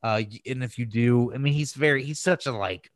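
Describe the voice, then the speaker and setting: A man's voice: mid-range voice, a little fast, no room sound, clean studio sound. A man hosting a podcast, chatting casually about films in a crass, emphatic and gushing way. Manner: crass, freely swearing. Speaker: podcast host. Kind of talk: casual film review chat.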